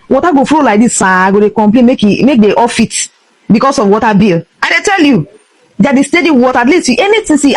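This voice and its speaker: Fast-talking Nigerian woman ranting. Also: a little high, dry recording, low-quality recording. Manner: emphatic, indignant, switching between English and Pidgin. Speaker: social media vlogger. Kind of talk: impassioned rant to camera.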